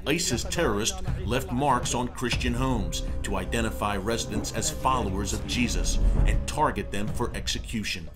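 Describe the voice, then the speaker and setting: Male narrator over loud music. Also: fairly low pitch. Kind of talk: scripted promotional documentary narration.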